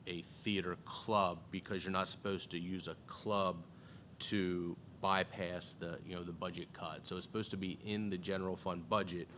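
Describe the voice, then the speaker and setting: A man's voice: sits low, level tone, moderate pace, fairly bright tone, a little hiss or hum. A man on a school board explaining fund rules in a plainspoken way, with some restarts. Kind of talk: meeting discussion.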